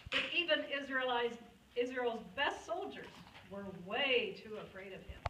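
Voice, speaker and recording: A woman's voice: a little high, lively intonation, normal speed. A woman narrating a puppet show in a storytelling style. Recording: echoey room, distant mic, clear noise.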